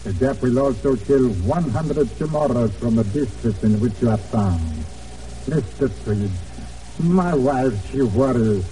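Actor as earnest islander, muffled old radio. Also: male voice, mid-range voice, lively intonation, mellow, distant mic, close to an everyday voice. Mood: grave, worried. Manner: earnest, halting, simple broken English. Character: devoted, worried islander husband and father, pleading. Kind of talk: 1940s radio drama acting.

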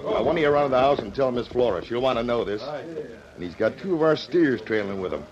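An actor playing a crooked, blustering American cowboy ranch foreman in an old radio western, with gravelly, folksy talk. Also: medium pitch, animated, fairly quick, close to an everyday voice. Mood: brash. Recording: muffled old radio recording, crowd sounds.

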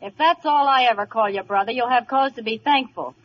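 A woman playing a brassy, sharp-tongued former burlesque showgirl in old radio comedy, teasing and sarcastic. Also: on the high side, fairly quick, lightly acted. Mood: mocking. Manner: sarcastic, breezy, mocking. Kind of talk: 1940s radio comedy acting. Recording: muffled, noisy old radio broadcast.

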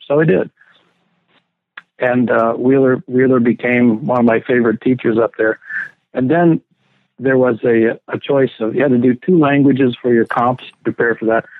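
An older man looks back on his past in an unhurried way, restarting his sentences and chuckling now and then. His voice sits in an ordinary middle pitch. The line is thin and phone-like, with a dry, close sound. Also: dry recording. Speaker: guest, theology professor. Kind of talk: relaxed reminiscing interview talk.

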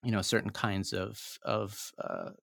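Brief: low-pitched male scholar, thinking aloud, halting and searching, dry close sound. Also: little pitch movement, vocal fry, a bit bright, no echo. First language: not Japanese; learned Japanese as foreign language. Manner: hesitant, many fillers and restarts. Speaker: author guest. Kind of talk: academic interview answer.

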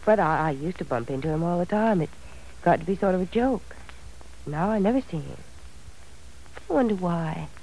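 An actress playing a dreamy, affectionate young wife who talks in a wistful, musing way, in 1940s radio style. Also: female voice, fairly low pitch, animated, normal speed, pauses often, warm tone, slight background noise, low-quality recording.

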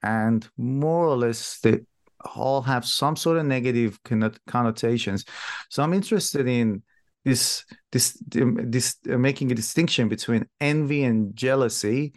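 A man's voice: mid-range voice, measured pace. A man whose first language is Persian, hosting a podcast interview and repeating words as he searches for phrasing. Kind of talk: conversational interview.

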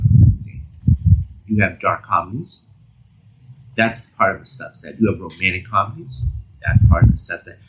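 A man with a fairly low voice chats casually as a podcast co-host. He feels his way through his points, often rephrasing mid-thought. The recording has clear noise and some clicking behind him. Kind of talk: casual discussion. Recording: clearly noisy background with clicks.

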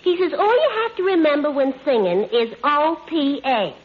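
A woman's voice: sits high, sing-song, moderate pace. An actress playing a brassy, dim, man-hungry bar owner's daughter in 1940s radio comedy. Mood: proud. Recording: muffled old radio recording.

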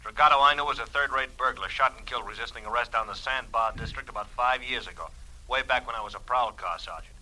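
Sharp, brusque American homicide cop. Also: male voice, mid-range voice, fast, rough recording. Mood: brisk, recalling. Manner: clipped, forceful, no-nonsense. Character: brusque, impatient male homicide police detective. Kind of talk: 1940s radio crime acting.

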